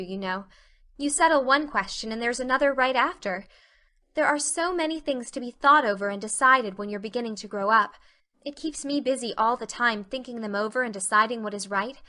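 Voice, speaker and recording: Talkative earnest teen girl, adult actress, bright and forceful, dramatic and full of feeling, for storybook drama. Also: fairly high pitch. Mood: earnest, chatty. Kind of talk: dramatic reading of a classic novel.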